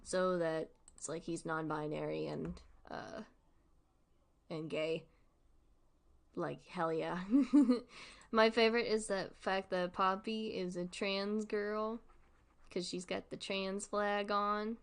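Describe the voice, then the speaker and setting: A woman's voice: fairly low pitch, flat delivery, slow, creaky, pauses often. A woman streamer giving casual livestream commentary in an informal, rambling, giggly way.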